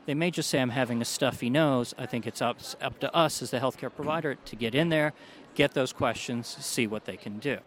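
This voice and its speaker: A man, a doctor, answering interview questions about a study in a measured, explanatory way. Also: mid-range voice. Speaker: doctor, allergy specialist.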